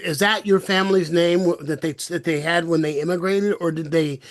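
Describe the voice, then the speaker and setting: A man's voice: on the high side, fairly quick. A man hosting a podcast panel, telling stories in a loose, chatty way with frequent restarts. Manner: animated storyteller, repeats words, laughs often. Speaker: podcast host. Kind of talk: casual panel chat.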